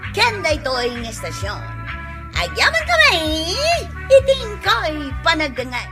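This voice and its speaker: A woman's voice: very high voice, wildly swooping pitch, slow pace. A woman with an Ilocano accent reading scripted radio drama credits as the announcer, with music underneath.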